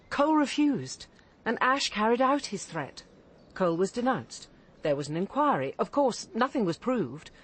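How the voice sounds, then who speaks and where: A woman's voice: medium pitch, slightly rough. An actress with an English accent playing a middle-aged, stern female barrister and cold mother in a radio drama, articulate, caustic and controlled.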